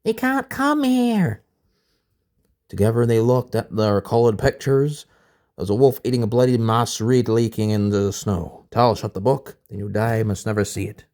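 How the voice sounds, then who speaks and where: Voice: mid-range voice, fairly even intonation, moderate pace, mellow. A storybook narrator reading aloud informally, now and then stumbling over a word and rereading it. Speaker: storybook reader.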